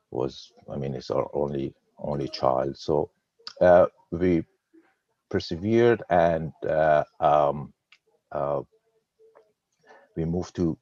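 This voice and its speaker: Man, 50s, Persian accent, very low and unhurried, halting with repeated words, dry dull web-call sound. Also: darkish tone, no echo. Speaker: guest trader. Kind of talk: personal story on a webinar. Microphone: video call.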